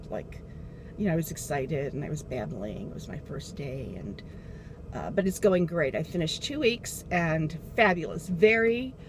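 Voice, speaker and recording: A middle-aged woman talks to the camera from behind the wheel, rambling and circling back to what she meant to say. Her voice sits fairly low for a woman. Steady car and road noise runs under everything. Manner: rambling, chatty, loses her train of thought. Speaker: beauty vlogger. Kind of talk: casual chat. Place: inside a car while driving. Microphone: dashboard camera.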